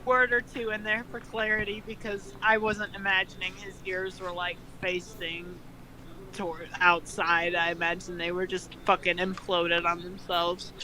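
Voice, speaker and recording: A woman's voice: fairly low pitch, flat delivery, normal speed, sharp tone, poor audio. A woman reading fan fiction aloud in a mock dramatic style, breaking off into casual, incredulous commentary with some swearing. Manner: incredulous, mocking, swears freely.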